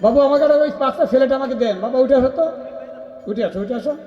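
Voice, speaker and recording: A man's voice: very high voice, very fast, muffled recording, a little hiss or hum. A man with a Bangladeshi Bengali accent hosting a live folk puppet show with coaxing, repetitive banter. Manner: coaxing, repetitive calls to audience and children. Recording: loud live music and crowd, echoey venue. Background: tabla percussion and crowd.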